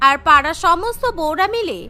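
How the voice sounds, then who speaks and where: A woman's voice: very high-pitched, animated, moderate pace, pushed and bright, soft background music. A woman giving scripted narration for a cartoon story.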